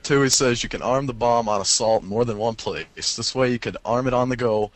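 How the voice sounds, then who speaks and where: A man's voice: mid-range voice, brisk, no room sound. A man co-hosting a casual gaming show, reading out listener messages and joking around. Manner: jokey, often laughing through his words. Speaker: podcast co-host.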